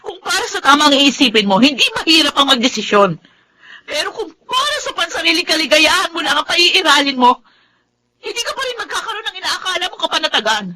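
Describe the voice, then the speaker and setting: A woman's voice: sits high, very animated, fast, pushed and bright, exaggerated voice. A woman acting a weary, reproachful wife in a melodramatic radio drama. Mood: hurt, reproachful.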